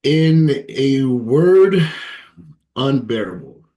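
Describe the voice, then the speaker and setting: A man in his thirties from Ghana, telling his personal story as an interview guest, reflective and hesitant, with many fillers and soft laughs. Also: mid-range voice, very animated, drawn-out words. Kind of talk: candid personal testimony.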